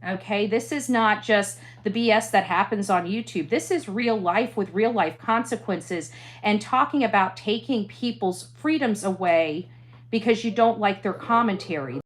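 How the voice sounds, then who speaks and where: A woman speaking earnestly to an audience as a commentator, halting and emphatic, repeating phrases to press her point. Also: mid-range voice. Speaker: interviewee, online true crime commentator.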